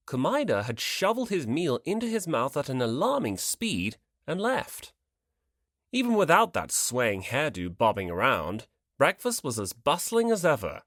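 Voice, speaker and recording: An audiobook narrator reading a scripted story in an expressive, dramatic way. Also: medium pitch, no echo, studio quality.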